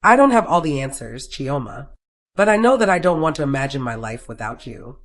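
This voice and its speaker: A narrator voicing a quietly confident, career-driven man in love in a romance story. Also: mid-range voice, very animated, brisk. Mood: earnest.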